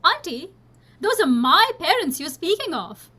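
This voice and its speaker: A woman's voice: very high-pitched, very animated, a little slow, sharp tone, exaggerated voice. A woman playing an earnest, candid young niece of a noble family in a radio play.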